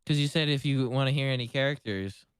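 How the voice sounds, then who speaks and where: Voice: medium pitch, fast, clean tone, no echo, poor audio. A non-native English speaker bantering casually as a co-host, blunt and joking, swearing freely and stumbling over words. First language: not English.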